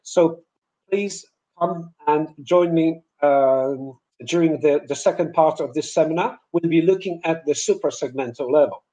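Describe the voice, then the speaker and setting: Guy teaching english pronunciation, slowish and halting, kinda soft mellow tone, higher voice. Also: low-quality recording. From Poland. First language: Polish. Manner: hesitant, halting delivery with repeated words. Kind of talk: webinar lecture with demonstrated example words.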